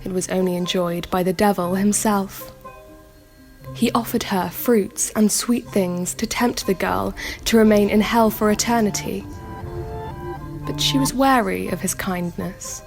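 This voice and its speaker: An actress playing a pensive young daughter in a radio drama, telling dark fairy tales in a measured, lyrical way. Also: female voice, mid-range voice, faint music behind, natural voice. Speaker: actor in a radio play. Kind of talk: radio drama acting, storybook narration.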